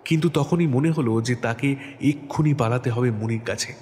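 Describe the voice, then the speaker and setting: A man narrates a horror story in a dramatic, scripted style. His medium-pitched voice carries a long reverb, with noise audible behind it. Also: moderate pace, a little mellow.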